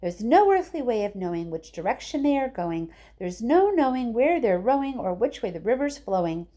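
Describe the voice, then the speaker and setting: A woman's voice: a little high, very animated, moderate pace, mellow, voice pushed up. A woman voicing a gleeful, bossy, eccentric man who makes chocolate in a storybook, exclaiming and giving commands. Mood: gleeful chanting.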